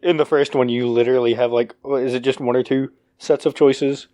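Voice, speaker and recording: A man's voice: medium pitch, fairly even intonation, fairly quick, dark tone, no room sound. Rambling young man, very dry sound. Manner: rambling, full of fillers and restarts. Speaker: podcast co-host. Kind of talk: casual chat.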